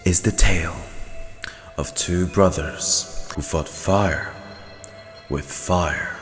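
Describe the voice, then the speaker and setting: A man's voice: low voice, slow. A man playing a solemn storyteller of a tragic legend, speaking in a dramatic, portentous way. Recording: long reverb under clear music.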